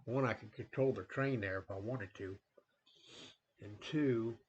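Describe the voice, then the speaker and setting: A man hosting a train-game playthrough in a rambling, relaxed way, full of fillers, a little sniffly from a coming cold. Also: lowish voice, a little slow, dull audio, a little hiss or hum. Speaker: gaming channel host. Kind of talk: casual gameplay commentary.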